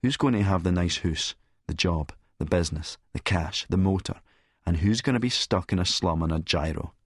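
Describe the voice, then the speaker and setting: A man's voice: low-pitched, moderate pace, breathy edge, dry recording, clean studio sound. A man playing a cynical middle-aged Scottish schemer who narrates in a verbose, sardonic, lecturing manner. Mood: scornful, sardonic. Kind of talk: first-person audiobook narration with dialogue.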